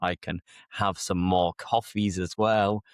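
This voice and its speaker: British man with a low, slightly stuffy voice. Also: little pitch movement, slow pace, dry recording, studio quality. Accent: British English. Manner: easygoing, explains idioms patiently. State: slightly congested with a mild cold. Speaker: podcast host, English teacher. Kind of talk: casual conversational podcast.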